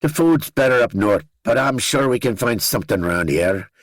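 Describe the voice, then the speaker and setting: A man voicing a rowdy, hard-drinking young Northern Irish man in his twenties, teasing and loud in a Northern Irish accent. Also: mid-range voice, animated, moderate pace, no echo, pitched above the performer's own voice, lightly acted. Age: 20s.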